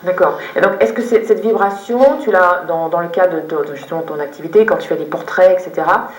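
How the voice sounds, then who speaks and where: A woman interviewer in a conversational TV interview, halting as she builds her questions in fragments. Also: lowish voice. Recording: roomy echo, distant microphone.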